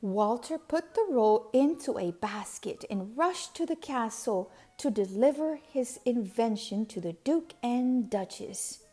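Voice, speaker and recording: Woman doing a kids read-aloud, unhurried, soft mellow tone, kinda high voice. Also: animated. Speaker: storybook reader. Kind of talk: expressive children's read-aloud narration.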